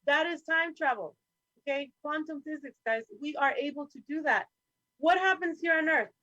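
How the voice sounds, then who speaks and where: A woman's voice: high-pitched, normal speed, sharp tone, no room sound, dull audio. A woman speaking as a guest in a roundtable discussion, talking in an impassioned, profane, rambling way over a remote call. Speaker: podcast guest.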